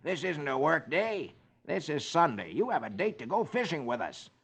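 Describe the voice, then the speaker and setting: A man's voice: on the high side, sing-song, moderate pace, creaky, a bit bright, exaggerated voice. A man voicing an indignant male fishing buddy from a comic strip, scolding bluntly in 1950s radio style. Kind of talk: 1950s radio funnies reading. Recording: muffled old radio recording.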